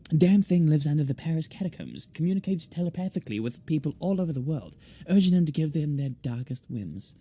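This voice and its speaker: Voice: medium pitch, expressive, fast talker, mellow. A game player voicing a macabre, theatrical woman, a former shaman who tells grandiose, droll tales. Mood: conspiratorial.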